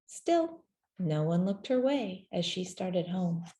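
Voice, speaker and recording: A woman reading a children's story aloud in a gentle, measured way, with deliberate pauses between phrases. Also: lowish voice, sing-song. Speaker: storybook reader. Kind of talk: scripted children's story narration, read aloud.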